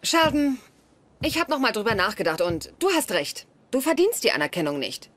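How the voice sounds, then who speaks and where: Blunt strict young woman in a dubbed sitcom, highish lively voice. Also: normal speed, background noise. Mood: cutting. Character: stern, brutally frank young woman. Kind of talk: German-dubbed sitcom dialogue.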